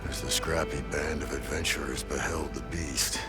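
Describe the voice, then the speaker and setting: A man's voice: rumbling low, fairly even intonation, moderate pace, loud music behind the voice. An actor playing a theatrical adventurer in a video game who narrates his companions' deeds in florid epic style. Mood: grand, solemn. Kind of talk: video game dialogue acting.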